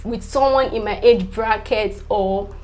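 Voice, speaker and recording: A woman's voice: mid-range voice, a little slow, darkish tone. A young Nigerian woman whose first language is Yoruba, chatting casually to camera as a vlogger, full of fillers, repeating "definitely" and laughing between answers.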